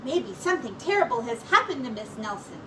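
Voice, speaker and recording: A woman's voice: fairly high pitch, sing-song, brisk, fairly bright tone, roomy sound. A woman voicing eager, exclaiming schoolchildren in a storybook. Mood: speculating eagerly. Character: excitable schoolchildren with wild theories, storybook. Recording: distant mic with clear background noise.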